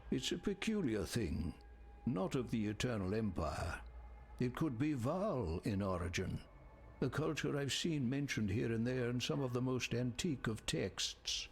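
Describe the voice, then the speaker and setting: An actor playing a grave, scholarly man in a fantasy video game, speaking in measured, literary phrases with a husky voice. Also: medium pitch, animated, a little hiss or hum. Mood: pensive, scholarly. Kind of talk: scripted video game dialogue.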